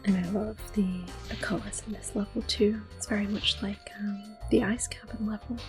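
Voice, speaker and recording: A young woman giving calm, soft-spoken ASMR commentary on a game she is playing, drifting often into close whispers with gentle fillers. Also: mid-range voice, moderate pace. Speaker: ASMR gaming host. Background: faint video game music.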